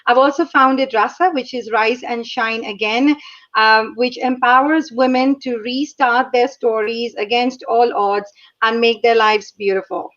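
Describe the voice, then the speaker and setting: Higher-voiced co-host woman on a muffled call. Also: steady tone, a little slow. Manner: warm and friendly, with frequent "uh" fillers. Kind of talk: online talk show.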